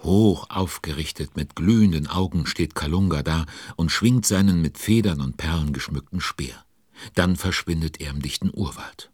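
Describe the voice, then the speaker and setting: A man's voice: very deep voice, husky, no room sound, clean studio sound, exaggerated voice. A man acting as the kindly narrator of a children's audio play, telling the story in a cosy, confiding way.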